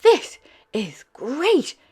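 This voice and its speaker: Woman voicing an excitable high-pitched little boy puppy. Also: very slow, full character voice. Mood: excited. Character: eager young male cartoon puppy, cheerful and excitable. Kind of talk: children's storybook character voice. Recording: music behind the voice.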